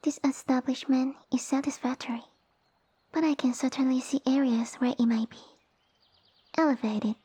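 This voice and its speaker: A woman playing a sassy, tiny snake-girl noble with pompous, formal, commanding speech and a soft breathy voice, in an ASMR roleplay. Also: high voice, fairly even intonation, normal speed. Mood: bossy, exacting. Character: haughty, sassy young lamia noblewoman, tiny monster girl. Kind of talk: ASMR roleplay audio drama.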